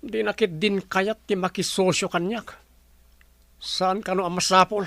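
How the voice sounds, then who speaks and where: A man's voice: high-pitched, fairly even intonation, a little slow, creaky, fairly bright tone, no echo, full character voice. An actor playing a lovesick, scheming young man with a Filipino accent in a radio drama. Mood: frustrated. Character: lovesick, scheming young male suitor seeking a business partnership.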